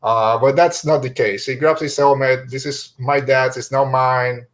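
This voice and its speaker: A man speaks as a podcast host in an easy conversational style. His pitch is average, and he talks through his thoughts informally, like someone chatting with guests. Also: fairly even intonation, moderate pace. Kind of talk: casual podcast book discussion.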